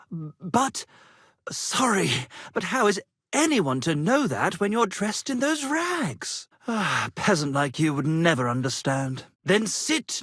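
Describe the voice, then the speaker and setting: An actor voicing a vain, florid wandering poet-musician, theatrical and grandiloquent, in a medieval video game. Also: male voice, a little high, fairly bright tone, no room sound, studio quality, exaggerated voice. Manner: flowery, grandiloquent, melodramatic.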